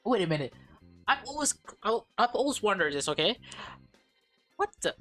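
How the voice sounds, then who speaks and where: Woman, mid-to-low female pitch, casual gaming host, playful reactions, reads aloud, occasional stumbles, music behind. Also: very animated, normal speed, pushed and bright. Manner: informal, playful reacting, stumbles while reading. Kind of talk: casual playthrough commentary, reading dialogue aloud. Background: background music.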